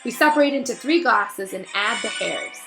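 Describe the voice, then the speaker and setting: A woman voicing an earnest, nervous, bookish schoolgirl witch with brisk, precise speech in a storybook reading. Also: on the high side, lively intonation. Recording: roomy echo, faint music behind.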